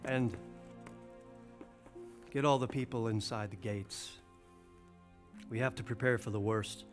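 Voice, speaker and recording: An actor playing a grave, authoritative medieval lord in a video game cutscene, speaking in a measured, commanding way. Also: male voice, lowish voice, steady tone, faint music behind, close to an everyday voice.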